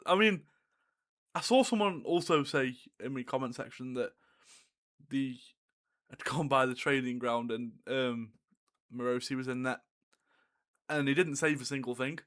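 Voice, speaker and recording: A young man with a Scouse-tinged Northern English accent co-hosts a football chat show. His voice is mid-pitched and easygoing. He agrees readily and laughs often. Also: a little slow, pauses often, fairly bright tone. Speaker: podcast co-host.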